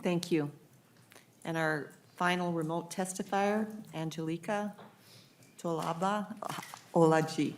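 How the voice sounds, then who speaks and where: A woman's voice: fairly low pitch, steady tone, slow pace, long pauses, slight background noise. A woman chairing a hearing calls on each testifier in a brief, procedural way. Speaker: committee chair.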